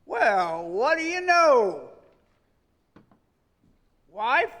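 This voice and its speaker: Older man doing a folksy jovial old uncle, high voice, slow drawl, theater reverb. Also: big pitch swings, pushed and bright, heavily acted. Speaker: stage actor in a live radio play. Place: theater stage. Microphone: vintage stand microphone.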